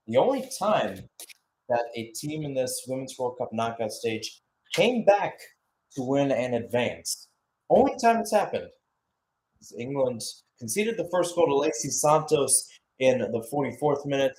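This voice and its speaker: A man's voice: mid-range voice, a little mellow, poor audio. A man giving live radio-style football commentary as a play-by-play caller, mixing match calls with chatty, conversational asides.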